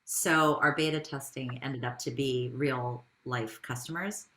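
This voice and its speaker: A woman's voice: a bass voice, steady tone, measured pace, fairly bright tone, slight background noise. A woman speaking as a business founder in an interview, telling her story in a conversational way with rhetorical questions.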